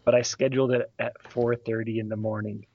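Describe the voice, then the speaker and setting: A man's voice: medium pitch, flat delivery, fairly quick, no echo, dull audio. A man in his forties telling stories from his student days and asking questions, often laughing mid-sentence. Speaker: podcast co-host asking questions. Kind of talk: casual chat.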